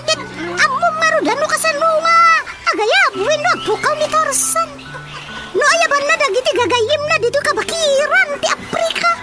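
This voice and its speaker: A performer voicing an excitable chimp sidekick in a broad comic radio drama, with music behind. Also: extremely high pitch, normal speed. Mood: excited, insistent.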